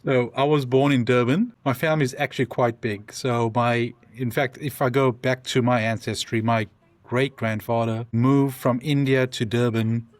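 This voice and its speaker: A South African man, a podcast guest, talking through his story in halting restarts and frequent ums. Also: mid-range voice, fairly even intonation, dry recording. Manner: thoughtful, speaks in halting restarts with many ums. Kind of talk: conversational interview.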